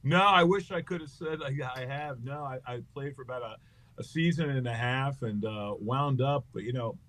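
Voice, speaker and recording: A man's voice: medium pitch, normal speed, fairly bright tone. A middle-aged American man, a comedian guest, telling rambling, joking anecdotes full of fillers in a casual interview. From United States.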